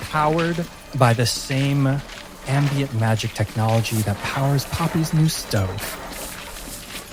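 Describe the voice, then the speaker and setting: A man working as game master, narrating a fantasy tabletop game in a dramatic, deliberate style with suspenseful phrasing. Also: medium pitch.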